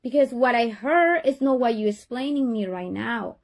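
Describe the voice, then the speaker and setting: A woman's voice: a little high, lively intonation, moderate pace. A middle-aged woman from Honduras with a Honduran Spanish accent, a parent speaking earnestly to a teacher and often repeating and restarting her words. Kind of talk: tense parent-teacher call.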